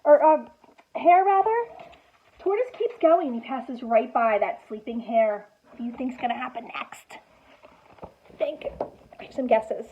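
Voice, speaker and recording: A woman with a high voice reads a picture book aloud as a teacher would to small children. She takes her time, adding expressive comments and simple questions for the listeners. The recording sounds muffled and thin. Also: measured pace, pauses often, slight background noise. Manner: expressive, animated storytelling with gasps and exclamations; child-directed, asking prompting questions.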